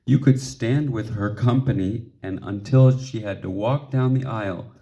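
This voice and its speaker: A man reading the part of a kindly, tactful schoolteacher in a storybook, gently explaining. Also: on the low side, a little slow. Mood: encouraging. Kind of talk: storybook character dialogue.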